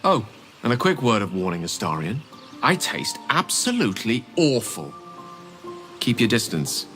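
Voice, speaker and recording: A man's voice: mid-range voice, big pitch swings, a little slow, fairly bright tone, soft background music. A man playing a suave, wry fantasy companion in a video game, with a dry, emphatic delivery. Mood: wry warning.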